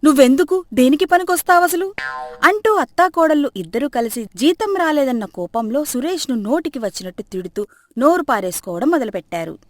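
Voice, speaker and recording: A woman's voice: sits high, big pitch swings, fairly quick. A woman narrating a comic moral story as a scripted storyteller.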